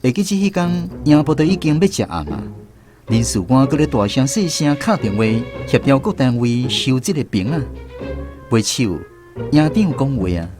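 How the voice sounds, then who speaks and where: A man with a Taiwanese accent tells a first-person story as a radio drama narrator. His mid-pitched voice rises and falls expressively, with music playing clearly behind it. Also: very animated, normal speed.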